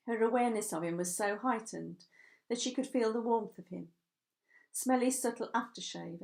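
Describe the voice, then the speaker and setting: A woman's voice: medium pitch, a little mellow. A woman narrating a romance novel from a script, as an author reading her own work.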